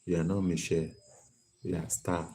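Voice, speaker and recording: A man's voice: sits low, level tone, moderate pace, warm tone, slight background noise. A man hosting an interactive live lesson, calling on viewers by name and reading their comments. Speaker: live stream host.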